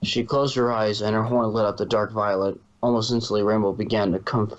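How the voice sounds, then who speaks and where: Male story reader, lowish pitch, read-aloud narration, dull muffled home recording. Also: little pitch movement, a little fast. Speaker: fan fiction reader.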